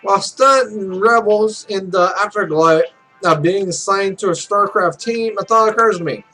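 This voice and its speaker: A high-pitched male let's player narrates a visual novel out loud and drops in loose, sweary asides of his own. Game music plays behind his voice. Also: moderate pace, low-quality recording. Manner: sweary, loose off-script reactions. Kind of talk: reading visual novel aloud with casual asides.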